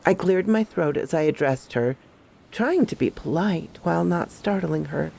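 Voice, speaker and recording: A woman playing a troubled female park ranger who recounts her horror story in a measured, confiding first-person narration. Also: fairly low pitch, lively intonation, gravelly, warm tone, a little hiss or hum. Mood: wary, recounting.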